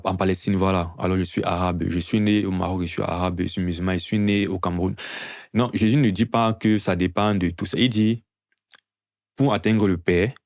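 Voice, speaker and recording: Very deep-voiced man preaching. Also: a little mellow, no room sound, studio quality. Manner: earnest, didactic, rhetorical questions. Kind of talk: evangelistic sermon to a gathering.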